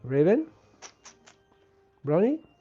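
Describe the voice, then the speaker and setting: A man with a French Canadian accent, a native French speaker, chatting gently and casually as he hosts a live craft stream. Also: high voice, sing-song, drawn-out words, breathy edge. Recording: dull, lo-fi sound.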